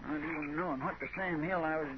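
An American actor playing a folksy, deferential, grumbling deputy in a 1950s radio Western, with a rural drawl. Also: male voice, on the high side, fairly even intonation, fast talker, natural voice. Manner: drawling, plain-spoken, deferential. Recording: muffled, very noisy old radio recording.

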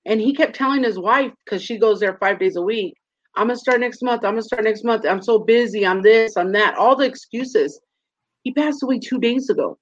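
A woman's voice: fairly high pitch, a little fast. A woman in her forties speaks earnestly on a live stream and tells personal stories. Her voice is slightly muffled and dull, as if from a weaker mic. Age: 40s. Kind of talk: casual live stream chat.

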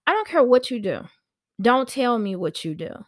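A woman telling a personal story on a podcast in a chatty, animated, slangy way, acting out conversations as she goes. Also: a little high, normal speed, no echo, clean studio sound. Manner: informal slangy speech. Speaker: podcast host and nanny.

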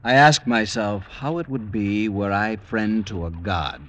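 An actor in a classic radio drama playing a proud, grave Roman noble with a terse, intense manner. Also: male voice, fairly low pitch, measured pace, fairly bright tone, dry recording, highs cut off. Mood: guarded. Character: proud, grave Roman noble turning against his friend.

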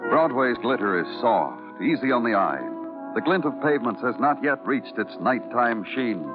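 An actor playing a hardboiled, world-weary police detective in old radio noir style, brooding and clipped, with deliberate phrasing. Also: male voice, mid-range voice, expressive, over loud music, lightly acted. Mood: brooding, somber. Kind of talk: 1940s-50s radio noir narration. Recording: narrow, muffled old radio recording.

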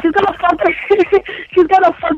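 A woman's voice: very high-pitched, steady tone, moderate pace, faint music behind. A young woman from Cuba with a Spanish accent, talking frankly and giggling as a phone-in guest on a casual radio interview. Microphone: telephone. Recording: dull lo-fi phone line.

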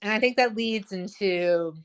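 A woman hosting a casual advice chat. She talks loudly and with enthusiasm, and fills her speech with "like" and "right?". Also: mid-range voice, unhurried, clear, resonant tone. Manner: loud, boisterous, enthusiastic; lots of "like" and "right?". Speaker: host.

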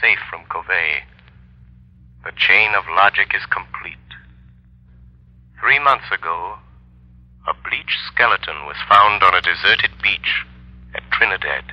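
A man playing a commanding, all-knowing avenger in a 1930s radio drama, speaking gravely and deliberately through a telephone-like filter. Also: deep voice, dry recording. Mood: grave, reassuring.

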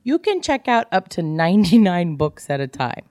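A woman's voice: on the low side, sing-song, moderate pace, a little mellow, no echo, clean studio sound. An American woman co-hosting a podcast, chatting casually about books in a blunt, joking, irreverent way. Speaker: podcast co-host. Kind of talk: casual book club chat.

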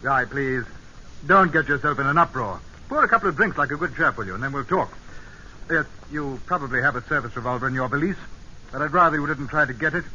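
An actor playing an urbane, persuasive British secret agent in an old-time radio drama. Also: male voice, mid-range voice, lively intonation, fast, sharp tone. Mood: suave, casual. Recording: muffled old radio broadcast, faint hiss.

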